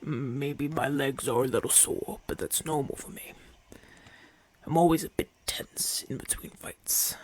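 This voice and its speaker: Casting: male actor as haughty Roman gladiator, gruff and imperious, creaky voice, unhurried delivery, breathy murmurs, for ASMR roleplay. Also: mid-range voice, a bit bright. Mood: softening, grudging. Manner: imperious, formal, dismissive. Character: proud, haughty Roman gladiator champion, gruff but softening. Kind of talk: ASMR audio roleplay acting.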